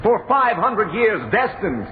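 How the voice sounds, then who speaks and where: Young rebel speechmaker, high voice, insistent and preachy, tinny old radio sound. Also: male voice, sing-song, slow, full character voice. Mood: solemn, resolute. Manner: declamatory, rhetorical, insistent. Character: fervent young male revolutionary orator. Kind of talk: old-time radio acting, political speech. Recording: tinny, band-limited old radio, faint noise.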